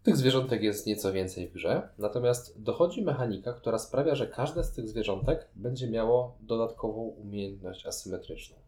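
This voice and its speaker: A man co-hosting a casual board game podcast, talking in an analytical, measured way with wordy phrasing. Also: on the low side, lively intonation, dark tone. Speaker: podcast co-host. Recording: roomy, echoing room sound.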